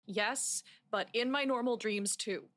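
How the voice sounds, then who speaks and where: A woman's voice: fairly high pitch, a little slow, fairly bright tone, no room sound, clean studio sound. A woman playing a troubled, defiant young patient in a radio drama, with a wounded, accusing manner. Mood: haunted. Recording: clear background noise.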